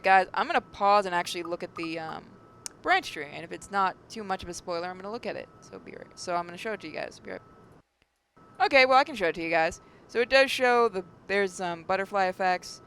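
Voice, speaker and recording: A gaming host with a creaky, mid-pitched voice reads visual novel text aloud and chats about it. Words occasionally trip and get repeated. The recording carries a noticeable rumbling noise. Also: a bit bright. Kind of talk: reading narration aloud, with casual commentary. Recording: clearly noisy mic with low rumble.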